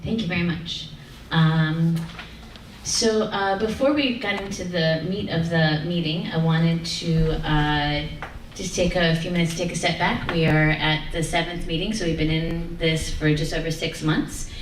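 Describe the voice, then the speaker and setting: Low-voiced woman chairing a meeting. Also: steady tone, a little slow. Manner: hesitant, many fillers. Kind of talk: chairing a public community meeting. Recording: echoey room, distant mic, faint noise.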